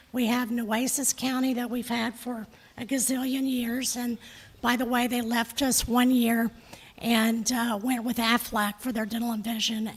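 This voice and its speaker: Hoarse saleswoman, middle-aged, friendly and chatty, flat high delivery at a desk mic. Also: low-quality recording. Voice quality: husky. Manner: chatty, friendly, joking asides. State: hoarse, losing her voice. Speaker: insurance sales representative. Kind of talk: presentation at a public meeting. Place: county meeting room. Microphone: desk microphone.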